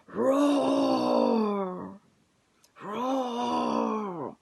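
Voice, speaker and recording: A fierce, grumpy lion from a children's storybook, acted out by a woman. He scolds and threatens like a king who dislikes being disturbed. The voice is slightly husky. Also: a little high, animated, very slow, darkish tone, heavily acted. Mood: roaring. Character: fierce grumpy male lion, tired and roaring.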